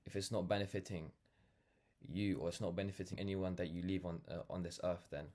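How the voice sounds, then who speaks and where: A young man from London with a notably low voice. He talks off the cuff in a reflective, earnest monologue. His delivery rambles, leaning on "like" and "you know" as he goes. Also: steady tone, fairly quick, dark tone. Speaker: vlogger, brand founder. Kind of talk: casual reflective monologue to camera.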